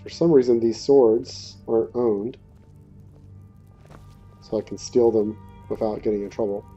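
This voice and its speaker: A man giving casual let's play commentary in a hesitant, low-key, conversational way. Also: steady tone, unhurried, warm tone, breathy edge. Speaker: gaming video creator. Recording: dull muffled audio, faint music behind. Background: faint game music.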